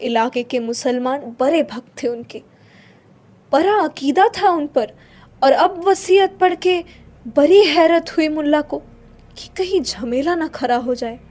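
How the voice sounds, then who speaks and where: A woman's voice: high-pitched, measured pace, darkish tone. A woman narrator reading a literary short story in a dramatic storytelling style. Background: faint music.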